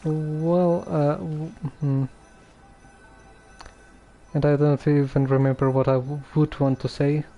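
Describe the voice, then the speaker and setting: A man's voice: on the high side, level tone, dull audio, soft background music. A man giving casual, hesitant live commentary as he plays a game on stream. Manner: hesitant, halting.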